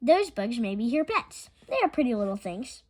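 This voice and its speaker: A woman's voice: a little high, very animated, normal speed, a little mellow. A woman voicing a cheerful, literal-minded housemaid in a children's storybook, confident, folksy and eager.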